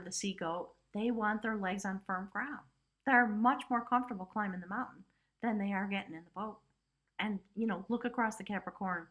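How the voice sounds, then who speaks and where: A woman's voice: medium pitch, fairly bright tone. A woman giving a casual spiritual tarot reading, talking chattily with lots of "you know" and "right?" asides. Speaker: tarot reader.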